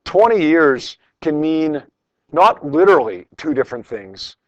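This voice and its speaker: A man's voice: a little high, big pitch swings, unhurried, no room sound. Male teacher, slightly dull recording. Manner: informal, encouraging, repeats phrases for emphasis. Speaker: high school physics teacher. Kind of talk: classroom lecture, working through problems with students. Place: classroom with students.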